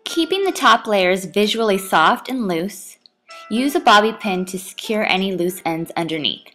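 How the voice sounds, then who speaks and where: A woman delivers a scripted instructional voice-over at a medium pitch. She sets out each tutorial step in turn, with music playing faintly behind her. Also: lively intonation, moderate pace, fairly bright tone. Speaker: tutorial narrator.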